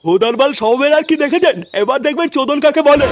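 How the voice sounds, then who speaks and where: A man's voice: extremely high pitch, quick pace, slight background noise. A man voicing a vengeful, foul-mouthed young thug in a comic cartoon, swaggering and threatening. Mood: menacing. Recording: music behind the voice.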